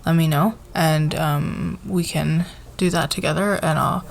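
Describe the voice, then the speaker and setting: Casting: actress as supportive woman boss for ASMR roleplay, lowish voice, very slow pace, soft halting meeting talk. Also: fairly even intonation, vocal fry, full character voice. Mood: appreciative, encouraging. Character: friendly, encouraging female boss leading a team meeting.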